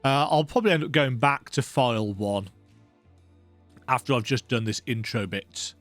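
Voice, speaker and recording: A man's voice: mid-range voice, normal speed, a bit bright, faint music behind. A man hosting a let's play, giving casual, rambling, conversational commentary on a video game.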